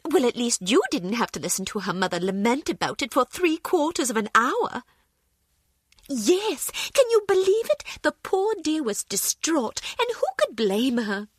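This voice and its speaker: An actress playing a mannered, gossipy but dutiful office secretary in a video game. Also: female voice, fairly high pitch, sing-song, moderate pace, husky, no echo, clean studio sound. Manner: chatty, mannered.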